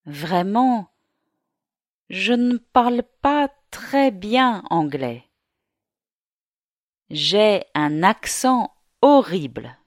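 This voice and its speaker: A woman reading the part of a young French law student in a slow learner's dialogue. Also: medium pitch, lively intonation, no room sound, clean studio sound. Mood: friendly. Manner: slow, carefully articulated reading.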